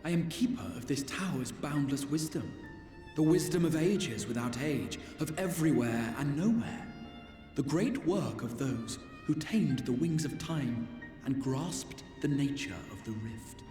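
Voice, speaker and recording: Solemn tower guardian, echoing grandly. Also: male voice, fairly high pitch, wildly swooping pitch, dark tone. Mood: imperious, grave. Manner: grandiose, archaic, deliberate phrasing. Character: solemn, regal male guardian of an ancient tower. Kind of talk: scripted fantasy game dialogue. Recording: echoey hall reverb under orchestral music.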